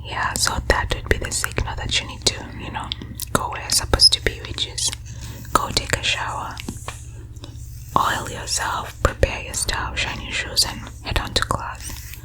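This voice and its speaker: A woman from Uganda speaks entirely in a breathy whisper, taking her time. She rambles conversationally through personal memories in an ASMR storytime style, at a slow, unhurried pace. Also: rough recording. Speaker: storyteller recalling her own school days.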